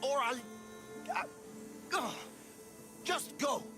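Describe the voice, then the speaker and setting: A man's voice: very high voice, lively intonation, drawn-out words, music in the background, full character voice. An actor playing a jealous, lovesick man in a video game, giving orders in a haughty, sneering, defensive manner. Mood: jealous, angry. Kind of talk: video game dialogue acting.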